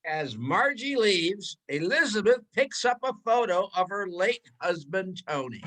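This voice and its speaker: High-pitched male radio play narrator. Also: sing-song, a little slow, bright, forceful tone, poor audio. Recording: faint background noise.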